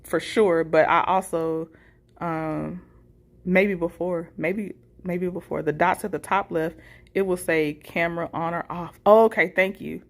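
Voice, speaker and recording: A woman's voice: lowish voice, fairly even intonation, unhurried. A woman hosting a casual livestream, reading viewer comments and responding in an informal, appreciative way. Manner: informal, appreciative, chuckles between remarks. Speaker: livestream host, content creator.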